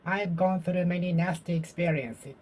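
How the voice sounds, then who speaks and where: A Japanese-speaking learner practicing English alone, slowly and carefully repeating the same sentences, while getting over a cold. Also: mid-range voice, steady tone, darkish tone. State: recovering from a cold. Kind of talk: self-study speaking practice, repeating phrases aloud.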